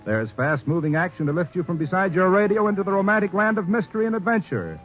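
A man's voice: high-pitched, animated, fast talker. A man with an American accent announcing on 1950s radio, reading scripted promotion with polished, emphatic delivery. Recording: muffled 1950s radio recording, music behind.

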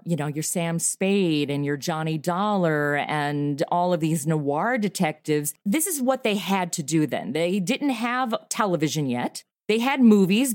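A middle-aged woman with a fairly low voice talks conversationally as an interview guest. She explains things with enthusiasm, and the sound is dry, with very little room in it. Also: no room sound. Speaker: interview guest, comedy writer and voice performer. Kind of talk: conversational interview about own work.

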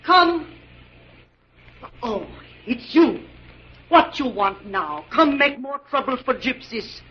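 Ominous fortune-teller, muffled old radio. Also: female voice, high-pitched, big pitch swings, slow, a little hiss or hum, full character voice. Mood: wary, hostile. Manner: broken English, dramatic pronouncements. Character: suspicious, ominous female fortune-teller at a camp. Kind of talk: 1940s radio acting.

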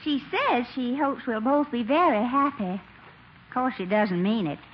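On a hissy 1940s radio comedy recording, a coquettish, jealous fiancée. Her high voice swings theatrically as she coos and teases to get her way. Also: expressive, a little slow, dull audio. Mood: sly, needling. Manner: cooing, theatrical, melodramatic.

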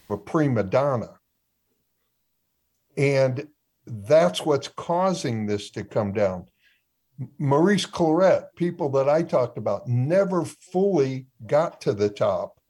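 A man's voice: mid-range voice, darkish tone, husky. A man co-hosting a casual sports talk panel, who poses long, probing questions to the others.

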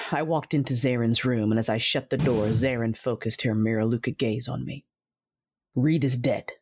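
A woman narrating and voicing a cold, proud female Sith warrior and mother in an audio drama, in a deliberate, measured way. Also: rumbling low, fairly even intonation, darkish tone, breathy edge, no echo, exaggerated voice.

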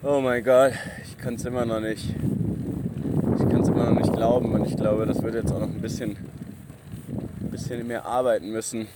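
Young male vlogger, worked up and stunned, mid-pitched, heavy vehicle noise behind. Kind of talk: vlog to camera outdoors. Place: outdoors. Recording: loud outdoor noise from vehicles.

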